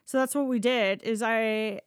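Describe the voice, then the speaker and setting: Higher-voiced Canadian woman telling casual stories. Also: fairly even intonation, measured pace, clean tone, no echo, clean studio sound. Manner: candid, profane, quoting dialogue as she goes. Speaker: podcast host telling work stories. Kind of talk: casual conversational storytelling.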